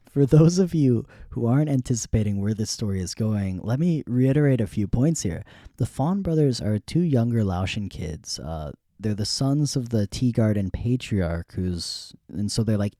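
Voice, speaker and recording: A young man hosting a podcast, telling a story in a relaxed, rambling way with frequent laughs. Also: mid-range voice, dry recording, studio quality. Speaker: podcast host. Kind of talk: casual storytelling.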